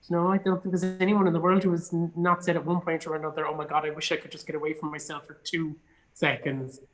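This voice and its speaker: A man's voice: on the high side, flat delivery, fast talker, a bit bright, low-quality recording. A man from Cork with an Irish accent, an actor chatting casually and telling anecdotes as an interview guest. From Cork, Ireland.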